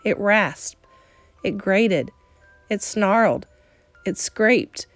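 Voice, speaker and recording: A woman reading a children's novel aloud as dramatic narration, with suspenseful emphasis and deliberate pauses. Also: mid-range voice, expressive, dry recording, faint music behind. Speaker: storybook reader.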